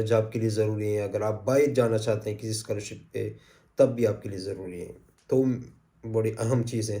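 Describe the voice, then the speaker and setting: Brief: male channel host, a bit hoarse, very dark mellow tone, casual explainer. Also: lowish voice. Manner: easygoing, repeats points, frequent "uh" fillers. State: sore throat. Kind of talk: casual advice talk to camera.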